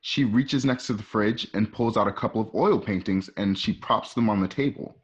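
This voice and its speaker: A man's voice: on the low side, moderate pace. A man narrating an amateur radio play, reading out screenplay stage directions. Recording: dull lo-fi home recording.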